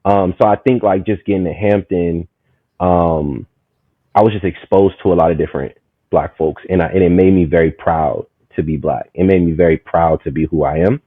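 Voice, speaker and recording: Guest: man, 30s, from Maryland, rambling storyteller, low voice, phone-quality audio, dry. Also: moderate pace, breathy edge, dry recording. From Maryland, USA. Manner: animated, rambling, full of "you know what I'm saying". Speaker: guest, community market founder. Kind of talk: casual storytelling conversation.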